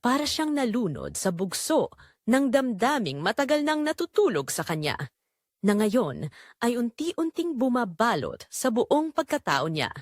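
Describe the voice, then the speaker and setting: A woman's voice: on the high side, sing-song, measured pace, dry recording, clean studio sound. A woman with a Filipino accent reading a scripted dramatic story as the narrator of an audio drama.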